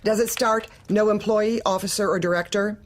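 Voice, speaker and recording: An actress playing a heartless, manipulative office manager in a dark comedy audio drama, deliberate and probing with polite menace. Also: female voice, mid-range voice, fairly even intonation, lightly acted. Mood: coolly insistent.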